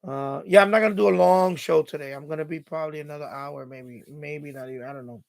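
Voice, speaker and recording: A male live-stream host with a noticeably high voice that often breaks into creak. He talks informally and without much filter, rambling as he answers his chat. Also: steady tone, quick pace. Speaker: livestream host. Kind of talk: casual live-stream chat, reading and answering viewer comments.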